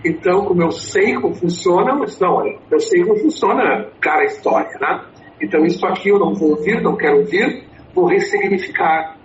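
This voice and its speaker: A man's voice: high voice, a little fast. A man in his sixties, a native English speaker, answering interview questions about his storytelling consulting with many fillers and restarts. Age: 60s. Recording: echoey, dull, slightly noisy.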